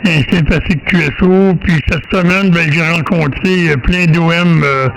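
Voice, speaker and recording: A man's voice: on the high side, fairly even intonation, moderate pace, creaky, a bit bright. Quebec man chatting over faintly noisy ham radio. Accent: Quebec French. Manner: hesitant, repeats words, rambling. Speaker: amateur radio operator. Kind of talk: casual radio contact chat. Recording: amateur radio recording, faint static.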